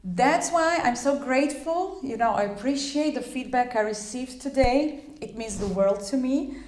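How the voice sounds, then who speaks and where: A woman's voice: high voice, normal speed, darkish tone, reverberant. Chatty woman, Croatian first language. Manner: chatty, many fillers and restarts. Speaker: English teacher. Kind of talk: informal spoken lesson.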